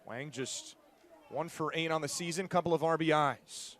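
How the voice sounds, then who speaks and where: High, bright-voiced male play-by-play announcer. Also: normal speed. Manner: punchy, clipped game-calling phrases. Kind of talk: live sports commentary. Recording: live stadium crowd behind the voice. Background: outdoor ballpark crowd.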